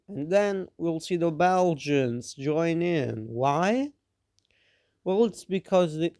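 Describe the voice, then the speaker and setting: Slow, smooth-voiced young man narrating. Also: on the high side, darkish tone, dry recording, studio quality. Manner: rambling, offhand, teasing asides. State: a bit sick. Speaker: mapping video narrator. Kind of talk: casual narration over a map.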